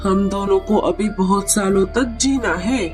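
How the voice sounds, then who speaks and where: A woman's voice: mid-range voice, a little slow, soft background music, lightly acted. A woman voicing an indulgent mother bird queen in a cartoon story, coaxing and protective. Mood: reassuring. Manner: coaxing, protective of her child. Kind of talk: Hindi cartoon story acting.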